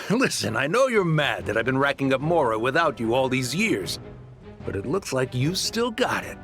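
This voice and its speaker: A man's voice: mid-range voice, very animated, normal speed, fairly bright tone, soft background music. A man voicing a smug, mercenary crime boss in a video game, with a glib, taunting manner. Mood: cocky.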